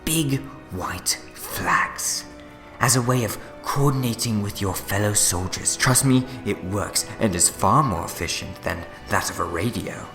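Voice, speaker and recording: An actor playing a gleefully smug, scheming time-travelling villain in a fan audio drama, theatrical, wheedling and gloating. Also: male voice, fairly low pitch, measured pace. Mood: mock-jovial. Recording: echoey long reverb, music behind.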